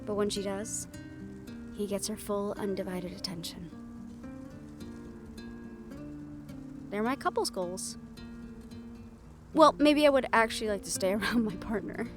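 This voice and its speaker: A woman's voice: medium pitch, normal speed, long pauses, over music, natural voice. A woman playing a young, wry and affectionate siren-banshee hybrid in an intimate roleplay audio drama, talking in a teasing, conversational way. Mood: fond, playful. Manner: soft-hearted, teasing, conversational storytelling.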